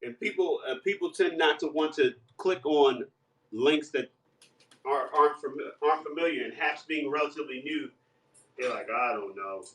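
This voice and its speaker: A man's voice: fairly high pitch, normal speed, fairly bright tone. A man chatting casually on a live stream while cooking breakfast, laughing often and breaking into playful bits of song. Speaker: guest live streamer and singer. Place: home kitchen. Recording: echoey room, voice far from mic.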